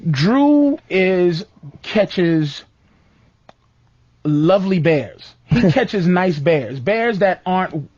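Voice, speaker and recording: A man hosting a comedic radio talk show, telling stories in an animated way with a raspy voice and acted-out quotes. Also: high-pitched, drawn-out words, no echo. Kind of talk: comedic radio banter with guests. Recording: dull lo-fi radio recording.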